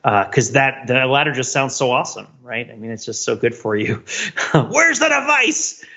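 A man's voice: mid-range voice, sing-song, normal speed, pushed and bright, lo-fi sound. A man co-hosting a podcast in casual discussion, analytical and wordy with a joking streak. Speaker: podcast co-host.

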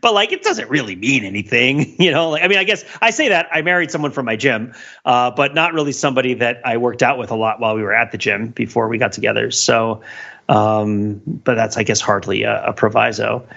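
A man's voice: medium pitch, fairly quick, vocal fry, sharp tone, dull audio. A man co-hosting a casual podcast discussion, rambling and interrupting himself as he thinks aloud. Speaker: podcast host.

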